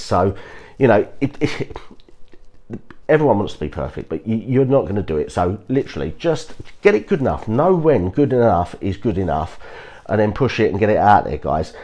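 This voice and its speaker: A man hosting a podcast, sounding croaky from a cold, giving earnest casual advice with frequent restarts and repeated reassurances to his listeners. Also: fairly low pitch, darkish tone. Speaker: podcast host. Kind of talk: casual motivational talk.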